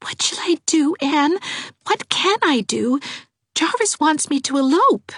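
A woman's voice: high-pitched, big pitch swings, a little slow, a bit bright, dry recording, studio quality, pitched above the performer's own voice, exaggerated voice. A woman voicing a timid, tearful young woman, sweet and coaxing, in a pleading, tremulous manner. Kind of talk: audiobook character voice.

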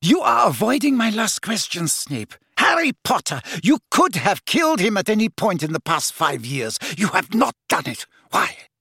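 A male reader puts on a fierce villainess from a storybook, high and jeering. The voice swings widely in pitch, full of outrage and accusation, close and dry. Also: big pitch swings, moderate pace, vocal fry, bright, forceful tone, breathy edge, no room sound, heavily acted. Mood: jeering, accusing. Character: fierce, jeering, fanatically loyal woman villain.

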